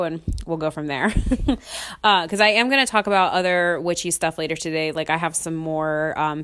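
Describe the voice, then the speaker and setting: A young woman chats alone as a podcast host, her voice sitting a little low for a woman. She is sick with a cold and sometimes sniffles. Her talk rambles, full of "um"s and quick laughs. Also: fairly bright tone, clear, resonant tone. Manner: chatty and rambling, laughs often, many ums. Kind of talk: casual solo podcast chat.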